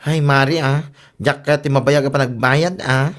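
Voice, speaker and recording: A woman's voice: a bass voice, steady tone, measured pace. A woman with a Filipino Ilocano accent playing a grumbling neighbour who lends and chases debts, in a comedy radio drama. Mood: reluctant. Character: grumbling female neighbour, lender chasing unpaid debts.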